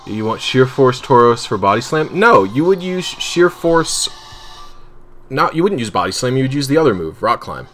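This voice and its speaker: A man's voice: mid-range voice, sing-song, normal speed, a bit bright, soft background music. A man casually chatting and muttering to himself while playing a video game on a livestream. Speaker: streamer.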